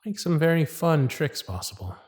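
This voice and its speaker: Smitten magician's inner voice, acted by a man: hushed, fond, confiding, intimate ASMR roleplay monologue. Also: on the high side, sing-song, moderate pace. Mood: smitten, musing. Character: smitten magician's private thoughts, shy and fond.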